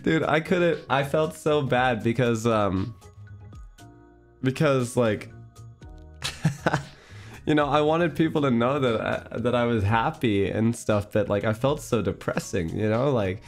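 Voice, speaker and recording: Male streamer, mid-pitched, loose rambling chat to viewers, faint guitar music under the voice. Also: slow, pauses often. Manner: rambling and chatty, with restarts and breathy laughs.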